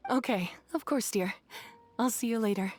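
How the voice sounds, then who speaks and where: An actress voicing a friendly woman who runs a flower shop in a video game, speaking in a welcoming, gentle way. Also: a little high, moderate pace, close to an everyday voice.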